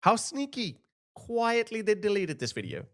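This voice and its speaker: A man's voice: high-pitched, sing-song, moderate pace, fairly bright tone. A man from Pakistan hosting an opinionated commentary, talking indignantly with sarcastic asides and restarts. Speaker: commentary channel host.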